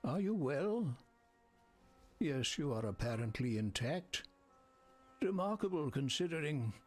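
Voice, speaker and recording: Husky, expressive scholarly villager. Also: male voice, fairly high pitch, soft background music, full character voice. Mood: concerned, unnerved. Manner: measured, articulate, slightly archaic wording. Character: grateful, learned male villager fond of old artifacts. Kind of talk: video game character acting.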